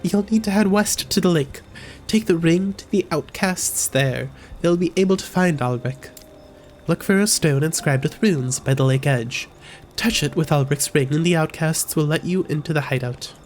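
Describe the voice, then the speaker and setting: A streamer reading as a weary freed prisoner from a fantasy game, earnest and urgent, quick line reading, middle pitch, soft music in the background. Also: natural voice. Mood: urgent plea.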